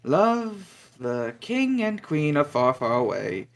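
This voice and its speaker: A man reading as a pompous royal page making a grand proclamation. He speaks slowly and a touch high, and his pitch rises and falls grandly. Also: sing-song, full character voice. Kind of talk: table read of a film script.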